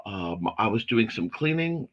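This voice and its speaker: A man hosts a laid-back morning livestream, his voice slightly hoarse and husky today. He rambles apologetically with plenty of ums, and his pitch jumps around with a bright, lively edge. The audio is dull and lo-fi. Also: measured pace. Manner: apologetic, rambling with many fillers. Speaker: host of a creative morning show. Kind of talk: casual livestream chat.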